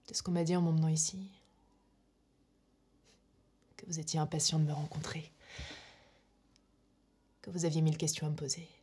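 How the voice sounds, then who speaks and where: An actress playing a menacing, eerily calm young witch in a film monologue, speaking in a slow, deliberate, breathy hush. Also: low voice. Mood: taunting, calm. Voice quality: breathy, hushed near-whisper. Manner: slow, deliberate, intimate, taunting.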